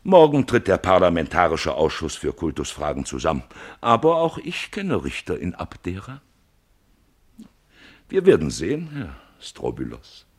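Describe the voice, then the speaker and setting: Casting: a self-righteous arch-priest for radio drama, low voice, oratorical, swooping emphatically. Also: male voice, wildly swooping pitch, normal speed, breathy edge, full character voice. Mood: solemn threat. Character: grandiloquent male arch-priest, fervent, combative and self-righteous. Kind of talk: German radio play acting.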